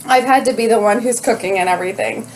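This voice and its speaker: A woman's voice: fairly high pitch, quick pace, low-quality recording. A young woman co-hosting a casual chat show, giggly and rambling, with lots of fillers. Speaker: podcast co-host.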